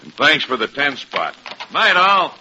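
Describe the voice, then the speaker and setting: An actor playing a cheerful, slangy freeloading pal in a vintage radio sitcom. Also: male voice, a little high, huge pitch swings, measured pace, sharp tone, full character voice. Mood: breezy. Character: breezy, slangy male pal, cheerful moocher. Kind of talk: 1950s radio sitcom acting. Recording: faintly noisy, narrow old radio recording.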